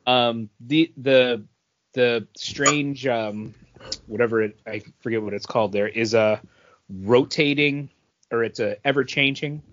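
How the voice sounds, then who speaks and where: A man's voice: mid-range voice, a little slow. A man chatting casually as a podcast co-host. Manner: frequent throat clearing. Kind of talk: casual chat. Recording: dull, dry lo-fi podcast audio.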